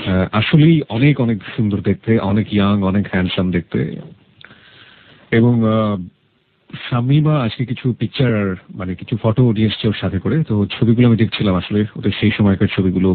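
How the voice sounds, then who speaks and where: A man with a fairly low voice and a Bangladeshi accent hosts a life-story interview on the radio. He phrases things in a measured, slightly hesitant way and drops in English words. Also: slightly rough, phone-quality sound. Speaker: radio show host. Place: radio studio.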